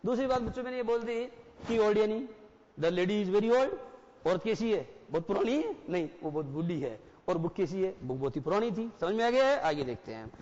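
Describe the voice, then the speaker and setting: A man's voice: high voice, expressive, a little fast, a little mellow, slight background noise. A man in his forties teaching a class, who explains step by step and keeps asking the students questions. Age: 40s. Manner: mixes Hindi and English. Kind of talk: classroom lecture. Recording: dull broadcast audio with long reverb.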